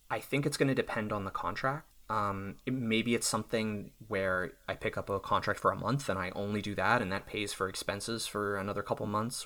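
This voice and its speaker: A man in his thirties, a self-employed software developer, talking casually and thoughtfully about his work, often restarting his sentences. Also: lowish voice. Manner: thoughtful, reflective, with restarts and small laughs. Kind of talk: casual podcast conversation.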